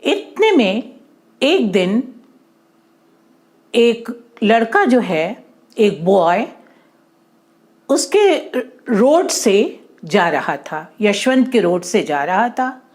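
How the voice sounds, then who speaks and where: A woman narrating a moral story for children in an expressive, explanatory way, repeating and rephrasing her points and mixing in English words. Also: medium pitch, extremely slow, long pauses.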